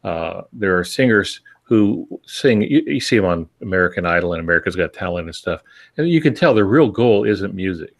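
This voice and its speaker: A middle-aged or older man co-hosting a podcast, explaining things in a measured, reflective, conversational way. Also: low-pitched, lively intonation, gravelly. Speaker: podcast co-host and experienced writer.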